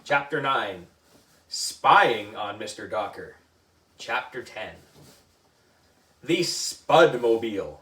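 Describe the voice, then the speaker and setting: Animated man reading aloud in an echoey room. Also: fairly low pitch, takes it slowly, long pauses. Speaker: book reader for a children's book club. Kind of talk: animated children's story reading. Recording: echoey room, distant mic.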